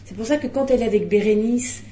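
A middle-aged woman, a film director, talking at a press conference, animated and restarting often. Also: a little high, fast, roomy sound. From Belgium.

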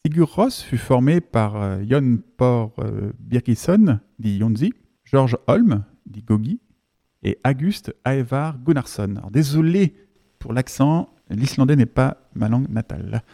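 Man presenting a radio music show, mellow warm tone, mid-range pitch, measured scripted delivery that slows on key words, studio mic, faint music underneath. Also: lively intonation, vocal fry. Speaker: radio host.

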